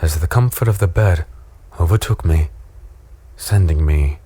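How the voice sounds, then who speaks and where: A brooding fallen man tells his own dark fantasy tale. His voice is low, and the scripted narration is measured and somber in style. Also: breathy edge. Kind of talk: first-person scripted audio drama narration.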